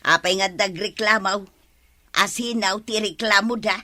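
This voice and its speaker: A woman's voice: medium pitch, steady tone, measured pace, pushed and bright. A woman with an Ilocano accent playing a chatty, thrifty wife in a comedic radio drama, with music behind. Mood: grudging.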